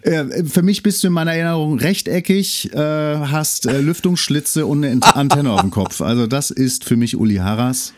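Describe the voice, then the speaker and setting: A man's voice: on the high side, normal speed, few pauses. A man from Hamburg hosting a podcast, who asks questions in a jokey, playful way. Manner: jokey, warm-hearted fan. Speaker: podcast host. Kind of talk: casual interview chat.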